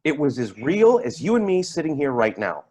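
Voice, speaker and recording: Man acting a rattled, high-voiced man, very animated. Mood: awed recollection. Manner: halting, emphatic, repeats himself. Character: rattled, defensive man testifying about an eerie deer encounter. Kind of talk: dramatic staged play reading, naturalistic testimony.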